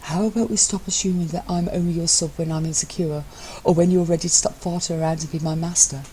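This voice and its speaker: A woman voicing a bland, deadpan young man who teases his husband with mock servility, in an audio story. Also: on the low side, fast, a little hiss or hum, pitched above the performer's own voice, natural voice, rough recording. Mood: dry teasing.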